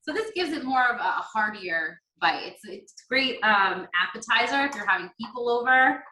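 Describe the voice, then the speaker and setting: A woman talks through a simple recipe in a chatty, upbeat, instructive way. Her voice is bright and forward. It has a noticeable echo from the room around her. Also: on the high side, expressive, normal speed, slight background noise. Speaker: guest demonstrating a recipe. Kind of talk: cooking demo chat on a video call.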